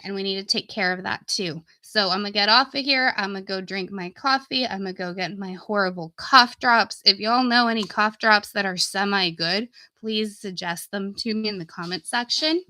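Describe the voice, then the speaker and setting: A woman talks straight to her viewers like an informal coach on a live stream. Her voice is bright and forward at a middling pitch, though she is getting sick and starting to lose it. The sound is dry, with very little room to it. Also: moderate pace, hardly any pauses, no room sound. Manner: informal, folksy, addressing viewers directly. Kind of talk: casual live stream chat and sales pitch.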